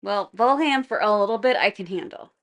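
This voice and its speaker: A woman's voice: mid-range voice, expressive, brisk. A woman co-hosting a game playthrough, chatting casually in brief, offhand interjections. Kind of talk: casual chat. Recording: faint music in the background.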